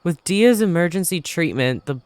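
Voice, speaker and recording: A man hosting a let's play, reading game text aloud and reacting in a casual, animated manner. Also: on the high side, moderate pace, smooth. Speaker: gaming video host. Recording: faint music behind.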